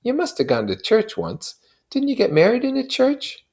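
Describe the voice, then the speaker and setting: A man voicing an anxious friend in a novel reading, pleading and gently insistent as he questions and comforts. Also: very high-pitched, huge pitch swings, normal speed, voice pushed up, full character voice. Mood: coaxing, insistent.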